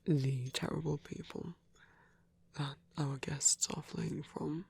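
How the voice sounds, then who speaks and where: A man playing a gentle vampire priest in an intimate ASMR roleplay, soft and breathy, speaking in hesitant, measured, genteel phrases. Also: a little high, level tone, dry recording, full character voice. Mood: earnest, measured. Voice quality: breathy, whispery. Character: gentle, soft-spoken vampire priest, male, pacifist, tender.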